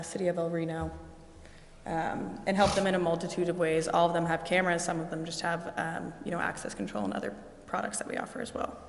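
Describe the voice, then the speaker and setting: A woman representing a vendor gives a sales presentation to a city council, walking through her slides in a steady, explanatory way with frequent ums. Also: lowish voice. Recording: echoey, reverberant council chamber with faint noise.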